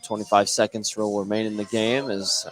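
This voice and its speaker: An American man calling a high school basketball game live as a radio play-by-play announcer. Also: flat delivery, normal speed, faint music behind. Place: courtside in a basketball gym. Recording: clearly noisy gym with bouncing ball.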